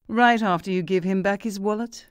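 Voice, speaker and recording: Actress as brisk, commanding secret agent. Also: female voice, medium pitch, over loud music, close to an everyday voice. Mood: coolly commanding. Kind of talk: comedic audio drama acting.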